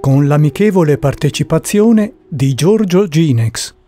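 A man's voice: fairly high pitch, lively intonation, measured pace, mellow. A man reading scripted narration for a radio drama, with music under his voice.

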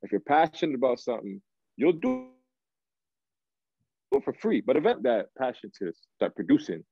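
A man's voice: medium pitch, huge pitch swings, moderate pace, creaky, warm tone, no echo, soft background music. A man, chatting casually as an interview guest, slangy and often checking that he is followed. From Canada, raised in Toronto. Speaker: guest, R&B singer. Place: indoor room. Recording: dull, lo-fi video call.

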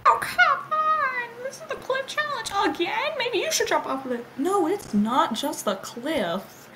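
A woman's voice: extremely high pitch, animated, measured pace, bright, forceful tone. A woman performing an irreverent, giggly, improvised cartoon fandub. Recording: clearly noisy home recording.

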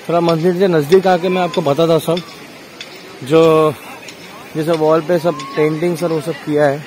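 A man's voice: on the high side, fairly even intonation, moderate pace, a little mellow. A man vlogging a walking tour outdoors at a marble temple, explaining the wall paintings in a plain, casual way. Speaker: travel vlogger. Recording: noisy outdoor phone recording.

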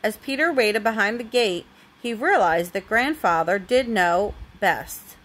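A woman's voice: medium pitch, animated, unhurried, a bit bright. A woman reading a storybook aloud expressively to a young child, as a parent does at bedtime.

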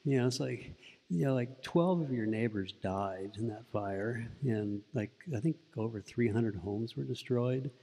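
A soft-spoken middle-aged man, a Buddhist monk, giving a relaxed, rambling talk into a stand mic in an indoor meditation room. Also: medium pitch, a little slow, vocal fry, long reverb tail. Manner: relaxed storytelling with frequent restarts. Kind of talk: informal dharma talk. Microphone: stand mic close in front.

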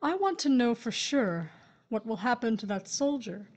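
Woman as a higher-voiced, earnest wronged widow. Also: big pitch swings, moderate pace. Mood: resolved, polite. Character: grieving, wronged widow, mother of grown children, firm but torn. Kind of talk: audio drama acting.

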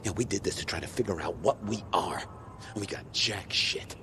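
An actor playing a brash, foul-mouthed survivor in a video game, blunt and urgent. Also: male voice, low voice, moderate pace, background noise, lightly acted. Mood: weary, wry. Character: brash, foul-mouthed male survivor fighting apocalyptic chaos. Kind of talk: video game cutscene acting. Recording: loud music behind the voice. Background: loud music.

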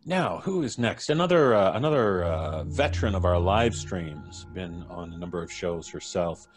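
Deep-voiced man hosting over soft music. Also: expressive, moderate pace. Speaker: host. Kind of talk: live-stream introductions. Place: indoor room, on a video call. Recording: soft music playing behind. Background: background music.

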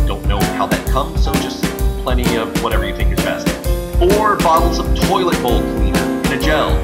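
A man's voice: mid-range voice, natural voice. A man playing a pompous revolutionary bank-robbing gang leader in a comedy audio drama, grand proclamations slipping into petty, profane asides. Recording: roomy echo, loud music behind. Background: music with drums.

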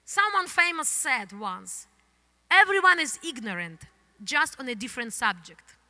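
A woman pastor in her thirties from the Soviet Union, with a Ukrainian accent, preaching an animated sermon into a handheld microphone on a church stage. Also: high voice, measured pace. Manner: animated, gesturing, non-native English phrasing. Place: church stage, indoors, large hall. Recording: long hall reverb from the church.

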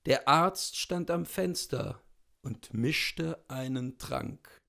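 A man in his thirties narrates a story from a book. He reads slowly, with a noticeable creak in his voice, in a small indoor room. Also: mid-range voice, lively intonation, bright, forceful tone. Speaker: literary reader. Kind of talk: scripted story narration, reading aloud.

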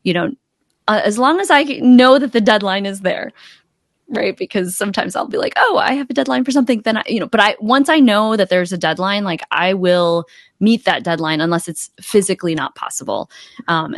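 A woman around her thirties or forties, a coach, speaking casually and a little haltingly as an interview guest on a close microphone. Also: medium pitch, no room sound. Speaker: podcast guest, accountability coach. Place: small indoor room, video call. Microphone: close desk microphone.

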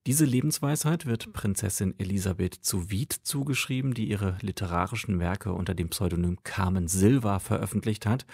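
A man's voice: fairly low pitch, creaky, a little mellow, husky, dry recording, studio quality. A man presenting a scripted introduction to a radio play.

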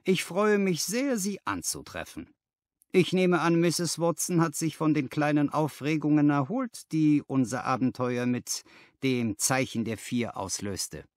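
Guy doing a confident gentleman detective in an audiobook, higher bright voice, very animated, analytical and a bit posh in manner. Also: measured pace, dry recording, clean studio sound. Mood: hearty. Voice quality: bright, forceful. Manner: crisply analytical, genteel. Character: bright, keen, self-assured gentleman detective. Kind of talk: audiobook character reading.

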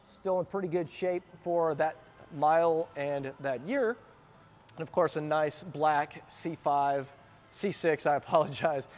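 A man's voice: fairly high pitch, fairly even intonation, unhurried, a little hiss or hum. A man giving an enthusiastic, chatty walkaround commentary as a car vlogger inside a warehouse, filmed on a handheld camera.

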